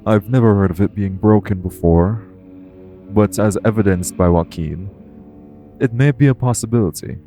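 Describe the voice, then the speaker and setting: A man playing a calm, protective guardian angel in an audio roleplay, speaking in a formal, measured, earnest way. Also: lowish voice, music in the background, close to an everyday voice. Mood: solemn, explaining. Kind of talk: audio roleplay acting, addressed to the listener.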